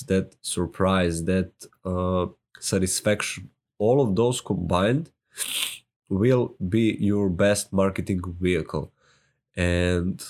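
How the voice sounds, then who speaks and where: Slow, low-voiced man with a Serbian accent. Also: a little mellow. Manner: hesitant, many filler words and restarts. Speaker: solopreneur sharing marketing advice. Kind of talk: casual talk to camera.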